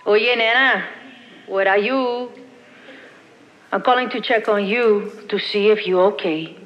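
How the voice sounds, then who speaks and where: A woman's voice: medium pitch, long reverb tail, highs cut off, close to an everyday voice. A woman doing a worried Puerto Rican mom. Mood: tender, worried. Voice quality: halting, repeating herself, broken English. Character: worried Puerto Rican mother, middle-aged, protective, tender. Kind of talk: voicemail monologue in a stage show.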